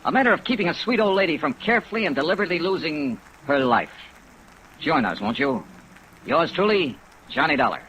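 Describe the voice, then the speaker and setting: An actor with an American accent playing a hard-boiled yet kindly insurance investigator in a 1950s radio detective drama, clipped and wry. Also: male voice, a little high, a little fast. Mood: wry, brisk. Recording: old 1950s radio recording, rain noise. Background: steady rain sounds.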